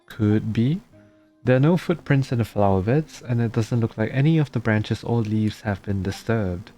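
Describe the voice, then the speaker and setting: A man's voice: fairly low pitch, normal speed, mellow. A man giving casual, laid-back gameplay commentary, murmuring reactions and reading dialogue aloud. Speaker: streamer playing a game. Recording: game music behind the voice.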